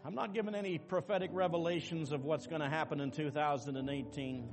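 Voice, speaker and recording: A man preaching a sermon as pastor, dropping to quiet asides and rising to loud, emphatic calls to the congregation. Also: fairly high pitch, fairly even intonation, quick pace. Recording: long reverb with faint music behind.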